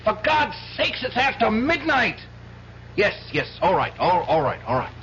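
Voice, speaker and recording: A desperate, agitated man in a radio play, stammering and urgent. His high voice swings widely in pitch, on a dull old broadcast. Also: huge pitch swings, unhurried, noisy background, heavily acted. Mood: frantic, pleading. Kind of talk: 1970s radio drama acting.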